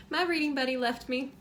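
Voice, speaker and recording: Female, very high voice, children's read-aloud teacher, simple prompting questions, some background noise. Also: a bit bright. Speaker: teacher reading a picture book aloud to children.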